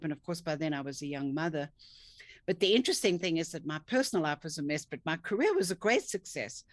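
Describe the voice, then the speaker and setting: A woman's voice: deep voice, no echo. A woman, a coach telling her story earnestly in an interview over a video call. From South Africa. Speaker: guest, thinking coach. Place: home office, indoors. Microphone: video call webcam.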